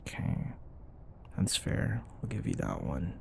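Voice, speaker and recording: A man's voice: low voice, huge pitch swings, slow pace, vocal fry, a little hiss or hum, full character voice. A man acting a grumpy, sarcastic office rival who grudgingly cares, in an intimate, blunt, conversational roleplay style. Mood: soft, concerned. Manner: exasperated, blunt, conversational, sighing.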